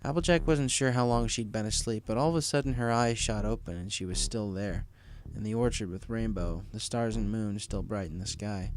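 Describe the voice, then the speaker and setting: A man's voice: fairly low pitch, a little fast, hardly any pauses, a little hiss or hum, poor audio. A man reading a scripted story as its narrator. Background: background music.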